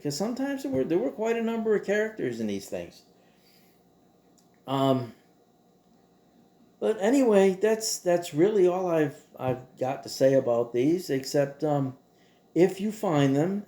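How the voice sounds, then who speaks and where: Male host, middle-pitched and mellow, for a casual opinionated monologue; conversational, rambling delivery with rhetorical emphasis. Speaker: host of a show about old radio.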